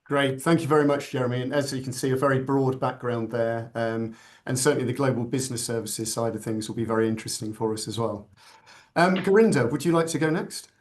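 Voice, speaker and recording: A man moderating a business panel discussion, polite and a little halting with frequent ums. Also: medium pitch.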